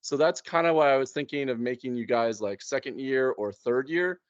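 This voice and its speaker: A man's voice: mid-range voice, normal speed, no room sound. Man chatting casually, dry muffled sound. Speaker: game master planning a tabletop campaign. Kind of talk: casual chat.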